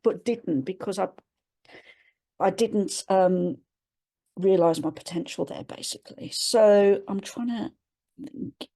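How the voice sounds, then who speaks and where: A woman's voice: medium pitch, dark tone. A woman from England, sharing a personal reflection on a video call in a hesitant way, with frequent restarts as she searches for words. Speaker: webinar participant. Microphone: computer mic on a video call.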